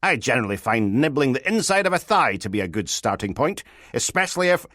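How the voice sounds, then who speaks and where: A crude, sardonic senior policewoman, voiced by a male narrator. Her voice sits higher and louder, with a sleazy, mocking swagger. It is an audiobook performance, recorded close and dry. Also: very animated, brisk, dry recording. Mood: leering.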